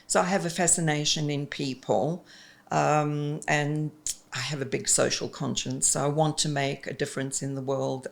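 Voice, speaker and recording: Gravelly South African woman in her fifties. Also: low voice. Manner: chatty and expressive, with frequent ums. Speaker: executive coach. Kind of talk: casual interview chat.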